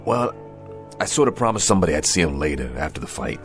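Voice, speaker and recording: An actor playing a bewildered, weary, earnest aging boxer in a radio drama, speaking haltingly and colloquially. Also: male voice, rumbling low, very animated, soft background music. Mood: distracted, friendly. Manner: halting, colloquial, repeating himself. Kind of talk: modern radio drama acting.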